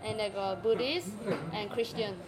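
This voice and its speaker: A woman with a Malaysian Chinese accent, her first language Chinese, speaking halting English in a casual interview and searching for words. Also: mid-range voice. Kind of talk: casual conversation. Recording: loud music behind the talk.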